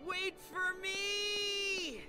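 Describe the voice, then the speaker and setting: Hapless whiny young knight, super high, drawn out, music behind. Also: female voice, fairly even intonation, very slow, exaggerated voice. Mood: pleading. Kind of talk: video game voice acting.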